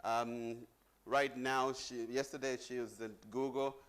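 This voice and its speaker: A man's voice: mid-range voice, steady tone, unhurried, fairly bright tone, clear, resonant tone. A middle-aged man from Zambia giving a chatty conference presentation on stage, joking with the audience. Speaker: conference speaker.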